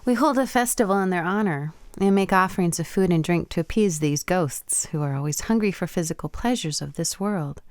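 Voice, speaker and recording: A woman's voice: on the low side, a little fast, few pauses, a little mellow, no room sound, studio quality, close to an everyday voice. Actress as a proud, teasing young Japanese woman. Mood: hushed, mysterious. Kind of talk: radio play acting.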